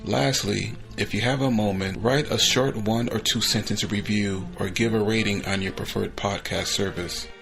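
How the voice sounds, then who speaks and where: A man's voice: fairly low pitch, gravelly, a bit bright. A man hosting a podcast, giving a casual spoken introduction. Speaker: podcast host.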